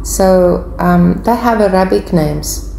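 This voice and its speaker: A woman's voice: on the low side, slow pace, gravelly, warm tone. A woman in her forties with a South African accent, chatting casually to viewers as she answers their questions. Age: 40s. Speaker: video channel host. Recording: echoey room with faint background noise.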